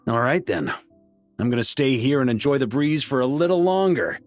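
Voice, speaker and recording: A man's voice: medium pitch, animated, moderate pace, faint music behind, close to an everyday voice. A man voicing an easygoing, wry knight commander. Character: relaxed, good-humored grandmaster knight, adult man. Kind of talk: game voice acting.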